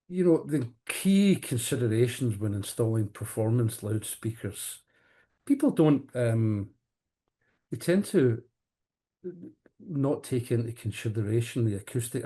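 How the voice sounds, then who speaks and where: A man with a dark, mellow voice answers interview questions in an explanatory way. He speaks haltingly, often doubling back on the first sound or word of a phrase. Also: mid-range voice, animated, breathy edge. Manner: halting, hesitant, repeats word beginnings. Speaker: company executive being interviewed. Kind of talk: interview answers on professional audio products.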